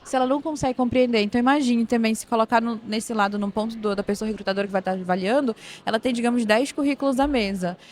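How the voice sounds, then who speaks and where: A woman's voice: a little high, fairly even intonation, fast, hardly any pauses, darkish tone, a little hiss or hum. A woman in her twenties from northern Brazil with a Pará accent, a guest explaining things animatedly in a podcast interview, full of restarts and "né". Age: 20s. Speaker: guest, operations manager. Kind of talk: podcast interview at a tech event.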